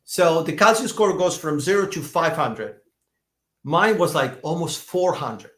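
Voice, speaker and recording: A man in his fifties, a doctor being interviewed, telling stories in a lively way, often restarting and repeating words. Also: fairly high pitch, unhurried, reverberant. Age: 50s. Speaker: functional medicine doctor, guest. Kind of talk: conversational podcast interview.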